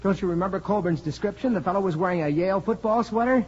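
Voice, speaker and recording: An actor playing an earnest, respectful young college athlete in a 1940s radio drama. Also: male voice, high voice, fast, a little mellow, breathy edge. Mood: excited. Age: young adult. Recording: muffled, hissy 1940s radio recording.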